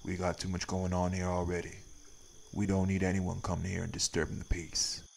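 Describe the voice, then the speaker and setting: A stern wraith priest played by a man speaks in a low, even voice with a gravelly edge. He is laconic and folksy, a tired guardian in an audio drama, with a faint hiss behind him. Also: brisk. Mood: calm, resolute. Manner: laconic, folksy, drawled nonstandard grammar. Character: stern, weary male wraith priest guarding his town. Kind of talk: scripted audio roleplay acting.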